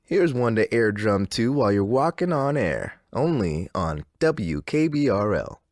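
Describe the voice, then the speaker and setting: A man's voice: fairly low pitch, big pitch swings, unhurried, a little mellow, no echo, clean studio sound. A man playing a blissful, eerily upbeat, cult-like radio DJ, soothing yet theatrical in his scripted patter.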